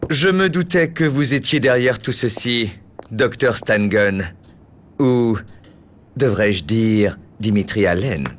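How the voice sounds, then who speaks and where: Casting: gentleman sleuth for a game, medium pitch, courteous and composed, light background noise man. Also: takes it slowly, lightly acted. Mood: thoughtful. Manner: courteous, reserved, articulate. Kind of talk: animated game cutscene acting.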